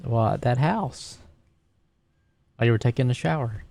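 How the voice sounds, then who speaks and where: A man's voice: mid-range voice, lively intonation, normal speed, gravelly, darkish tone, no echo. A man playing a tabletop roleplaying game, casually narrating his character's actions and lines.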